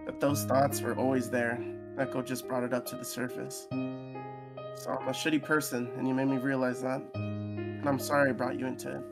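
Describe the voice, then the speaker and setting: A co-reader playing male wolf ex-boyfriend, gruff but tender, apologetic, visual novel, loud guitar music behind. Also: medium pitch, little pitch movement, normal speed, natural voice. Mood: remorseful. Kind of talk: visual novel read-aloud. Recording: music under the voice.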